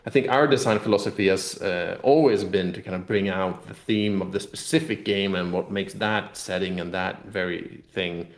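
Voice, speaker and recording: A man being interviewed as a game designer, talking casually and explaining in a rambling, halting way with repeated words. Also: deep voice. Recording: roomy, echoing room sound.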